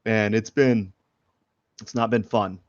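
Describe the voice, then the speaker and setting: A man's voice: on the low side, fairly even intonation, slow pace, dry recording. A man with a head cold, sniffling as he rambles loosely through a live drawing stream with many fillers. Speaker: artist streaming a drawing session. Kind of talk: casual live drawing commentary. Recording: dull lo-fi stream audio.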